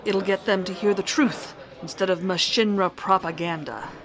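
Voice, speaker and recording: A woman plays a pragmatic resistance member in an audio drama. She has a medium-pitched, everyday voice with a hopeful manner. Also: normal speed, a crowd in the background, natural voice.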